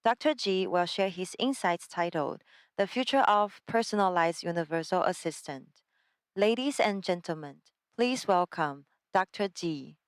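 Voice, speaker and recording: Smooth low-pitched woman emcee. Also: lively intonation, a little slow. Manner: polished, formal announcing. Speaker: event emcee. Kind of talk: formal bilingual introduction.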